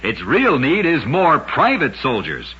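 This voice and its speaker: A man's voice: a little high, huge pitch swings, measured pace, sharp tone, husky, muffled recording. A man narrating short oddity stories as a radio host, with a dramatic, emphatic scripted delivery on a vintage recording. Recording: noisy, band-limited vintage radio recording.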